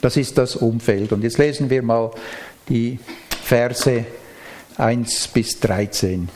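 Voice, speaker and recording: Guy giving a sermon, mid-pitched voice, explains things in a measured way. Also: a little mellow, a little hiss or hum. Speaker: preacher. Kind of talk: sermon with Bible reading and explanation.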